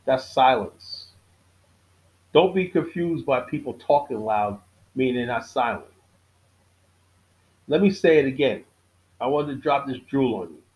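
A middle-aged man hosting his own podcast, giving a casual monologue with a preachy tone, repeating key lines for emphasis, often halting and restarting. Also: medium pitch, a little mellow, rough recording. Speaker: podcast host.

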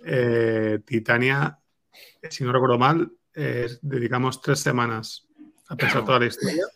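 A man's voice: medium pitch, measured pace, creaky, fairly bright tone. A man with an accent from Spain giving casual, conversational answers as an interviewed scriptwriter, often checking for agreement. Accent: Spanish from Spain. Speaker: scriptwriter guest being interviewed.

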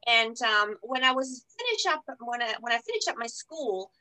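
A woman from Germany with German as her first language, a podcast guest telling her life story in a relaxed way, with many pauses and fillers. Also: a little high, poor audio. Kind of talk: conversational interview.

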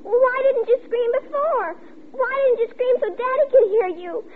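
A woman's voice: extremely high pitch, lively intonation, normal speed. An actress playing an anxious, insistent young girl who pleads with urgency in an old radio drama. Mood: tearful, desperate. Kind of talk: 1940s radio acting. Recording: tinny, noisy old radio recording.

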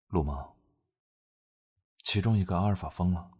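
A man playing a restrained, commanding young major general in a measured radio drama style, with a husky voice. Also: deep voice, a little mellow. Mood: subdued, wistful. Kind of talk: Chinese radio drama acting.

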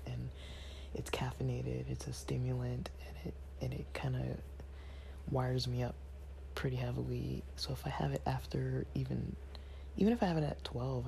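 Male vlogger, raspy and gravelly, low-key whispery ramble, a bit under the weather. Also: mellow, slight background noise, rough recording. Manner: rambling, many fillers, half-whispered. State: a bit unwell, rested. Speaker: channel host. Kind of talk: casual monologue to viewers. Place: at home.

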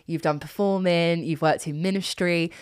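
A woman's voice: lowish voice, steady tone, normal speed, clean tone, no room sound, studio quality. A woman hosting a podcast in a conversational interview style, speaking in an effusive, encouraging way. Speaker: podcast host.

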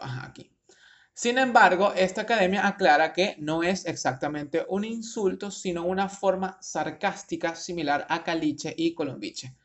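A young Venezuelan man with a Venezuelan accent, casually commenting on a news article, rambling with restarts and slang. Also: fairly high pitch. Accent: Venezuelan Spanish.

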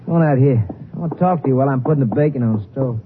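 A man's voice: medium pitch, racing, lightly acted. Man acting small-time American crook, tinny radio. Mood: friendly, confiding. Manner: uneducated, slangy, insistent drawling talk. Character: folksy small-time male crook, eager to be trusted. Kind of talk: 1950s radio drama acting. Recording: tinny, noisy 1950s radio recording.

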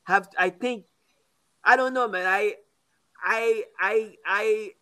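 A man in his fifties from Haiti, a coffee business owner, talking casually in an interview with halting, repeated words. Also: high voice, bright, forceful tone, clear, resonant tone. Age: 50s.

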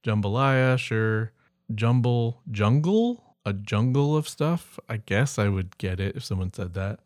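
A man with a fairly low voice chats casually as a podcast co-host. He rambles through word lists with offhand, humorous asides, recorded dry and close. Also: expressive, measured pace, a little mellow, no echo.

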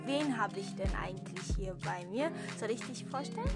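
A woman's voice: mid-range voice, expressive, moderate pace, loud music behind the voice. A young woman in her twenties hosting a casual podcast, friendly and encouraging, asking questions and affirming her guest. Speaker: podcast host.

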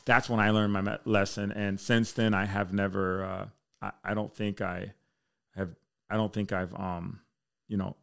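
A man's voice: on the low side, flat delivery, normal speed, vocal fry, a bit bright. A man in his thirties, a fire chief, chatting casually and telling rambling stories with easy swearing. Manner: laid-back, swears casually, rambling storyteller. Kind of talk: casual podcast chat.

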